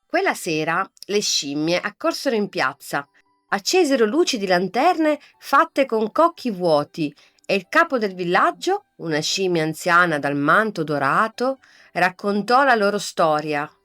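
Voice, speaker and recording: A woman reading a children's bedtime fairy tale in a soft, calm, lulling voice. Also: medium pitch, a little slow, soft background music. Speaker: storyteller. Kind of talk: scripted bedtime story narration.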